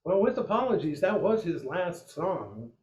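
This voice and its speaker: A man's voice: high voice, sing-song, a little slow, darkish tone, reverberant, low-quality recording. A man playing a genial 1940s radio variety show announcer, in period radio acting style. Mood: teasing, presenting.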